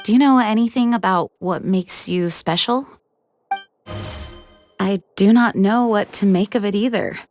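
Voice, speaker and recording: A woman's voice: medium pitch, darkish tone, faint music behind, natural voice. A woman playing a composed, earnest knight commander. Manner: measured, formal, sighing. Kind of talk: game cutscene voice acting.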